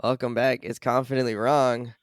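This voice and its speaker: Hoarse raspy guy in his 40s hosting a podcast, laughs a lot, dead dry sound. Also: mid-range voice, flat delivery, moderate pace, dry recording. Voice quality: gravelly. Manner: laughs often, teasing. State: hoarse from coughing. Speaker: podcast host. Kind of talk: casual chat.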